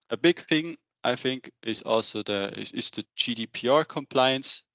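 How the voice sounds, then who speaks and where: A man in his thirties giving a semi-scripted business webinar presentation into a headset, with a halting delivery. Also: medium pitch, expressive, a bit bright, no room sound. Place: indoor room, on a video call.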